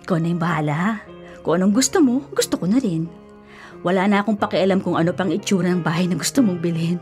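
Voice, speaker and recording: A woman's voice: lowish voice, fast talker, darkish tone, soft background music, lightly acted. A woman with a Filipino accent playing an agreeable, sensible fiancée in a radio drama. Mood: conciliatory.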